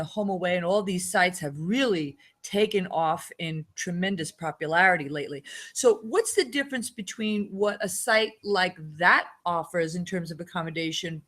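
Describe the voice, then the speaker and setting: A woman's voice: on the low side, fairly bright tone. A woman hosting an interview, upbeat, asking short questions and giving brief affirmations. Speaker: host.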